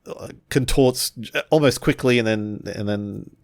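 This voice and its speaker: A man's voice: mid-range voice, a little slow, no room sound, clean studio sound. A man improvising narration of his character's actions in a tabletop roleplaying game, hesitant and searching for words. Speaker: tabletop role-player.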